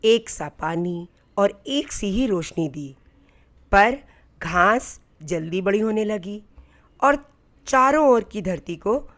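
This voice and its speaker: A woman's voice: mid-range voice, lively intonation, slow pace, a bit bright. A woman voicing God as a patient, encouraging male deity in a storybook tale, reassuring and instructive. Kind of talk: storybook narration.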